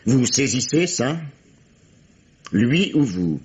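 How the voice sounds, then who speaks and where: An actor playing a sardonic, authoritative middle-aged police inspector questioning a suspect in a 1950s-style French radio drama. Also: male voice, medium pitch, sing-song, slow. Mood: pressing. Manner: authoritative, sarcastic, theatrical. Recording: dull, lo-fi vintage radio recording.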